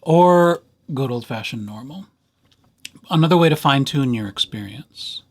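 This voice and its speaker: A man presenting a casual software tutorial as a host, with playful, dryly humorous asides. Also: medium pitch, expressive, moderate pace.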